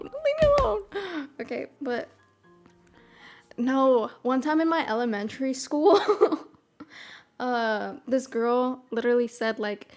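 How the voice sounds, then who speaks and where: A bubbly young woman with a mild Midwestern American accent, chatting casually with her audience. Her voice sits a little high, and she rambles from one thought to the next. Soft piano music plays faintly behind her. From American South. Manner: bubbly, rambling, lots of "freaking" and "oh my God". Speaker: streamer. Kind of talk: casual chat.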